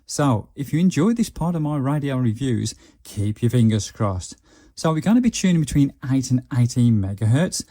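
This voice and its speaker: A man's voice: medium pitch, expressive, normal speed, dark tone. An English man giving a casual hands-on product review, chatting through each step as he goes. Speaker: reviewer of budget radios. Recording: noisy camera microphone, faint hiss.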